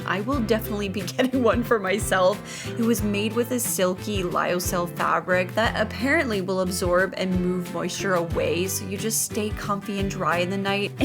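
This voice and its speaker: Chatty woman narrating over prominent music. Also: mid-range voice. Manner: chatty, playful. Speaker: host of a home makeover vlog. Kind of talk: upbeat scripted narration with casual asides.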